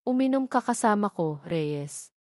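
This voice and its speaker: A woman's voice: medium pitch, expressive, measured pace, a little mellow, no room sound, studio quality, lightly acted. A woman narrator voicing a proud, wounded young heiress in an audio love drama, restrained and resolute. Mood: weary.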